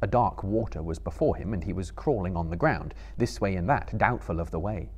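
A man in his thirties giving a dramatic literary narration to a live audience, with measured, solemn storytelling. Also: deep voice, a little mellow, slight background noise. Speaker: reader performing for a live audience. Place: small indoor stage before an audience.

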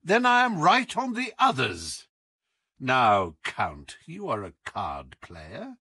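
A man voicing a sharp, commanding detective in an audiobook, coolly taunting, with deliberate, teasing emphasis. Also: mid-range voice, wildly swooping pitch, slightly rough, no echo, clean studio sound, exaggerated voice. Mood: accusing. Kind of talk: audiobook character acting.

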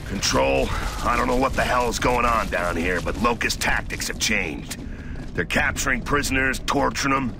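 An actor playing a gruff, hardened sergeant in a video game, curt and commanding, with a gravelly voice. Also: male voice, medium pitch, lively intonation, normal speed. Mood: grim. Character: gruff, hardened male soldier sergeant, grimly determined. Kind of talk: video game cutscene acting. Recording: loud game soundtrack over voice. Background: loud music, machinery and vehicle noise.

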